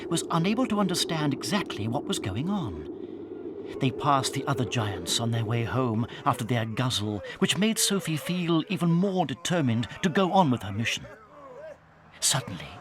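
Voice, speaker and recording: A man narrating a children's story in a measured, dramatic storytelling manner. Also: fairly high pitch, noisy background. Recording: studio narration over music.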